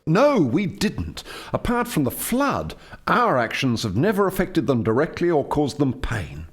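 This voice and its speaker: Man playing a dignified, expressive alien doctor. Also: on the high side, unhurried, slightly rough, full character voice. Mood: defensive, composed. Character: dignified alien male doctor heading a planet-making lab. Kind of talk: radio play acting.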